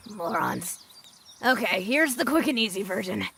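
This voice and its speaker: Woman playing a gravelly, jaded old ghoul woman. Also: medium pitch, animated, measured pace, fairly bright tone, a little hiss or hum. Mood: exasperated. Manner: blunt, dry, world-weary. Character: female ghoul, centuries old, gruff jaded wasteland travel guide. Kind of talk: audio drama dialogue.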